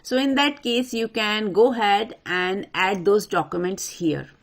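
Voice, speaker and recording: A woman presenting an unscripted software tutorial, talking the viewer through each step in an instructional way. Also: medium pitch, measured pace. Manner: instructional, addresses viewer directly. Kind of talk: step-by-step screen walkthrough, unscripted.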